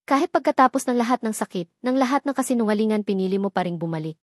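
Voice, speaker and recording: A woman's voice: on the high side, lively intonation, fast, a little mellow, dry recording. Woman narrator doing hurt young husband, bitter, cracking voice, dry close sound. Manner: bitter, then breaking and hurt.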